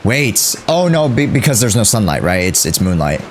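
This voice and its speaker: A man's voice: lowish voice, sing-song, moderate pace, a little hiss or hum. A young man giving casual, slangy live commentary as a game streamer. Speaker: game livestreamer.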